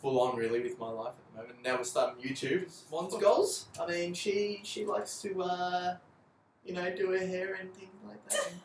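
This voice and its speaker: A young man with an Australian accent talks in a loose, rambling way, full of "um"s and quick laughs. He sits back from the camera in a bright flat, so his voice sounds roomy and a bit distant with a light hiss behind it. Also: high voice. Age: young adult. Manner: rambling, jokey, laughs often, many fillers. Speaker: vlogger. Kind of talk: casual couple vlog intro. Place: apartment by big windows, indoors. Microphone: camera mic from a few feet away. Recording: roomy, distant, slight noise.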